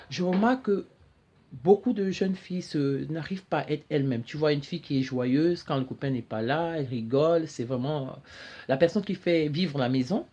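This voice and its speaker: Halting woman hosting a show. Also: low-pitched, mellow, a little hiss or hum. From Cameroon. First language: English. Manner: halting, repeats words while searching for them. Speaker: talk show host. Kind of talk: solo monologue to camera.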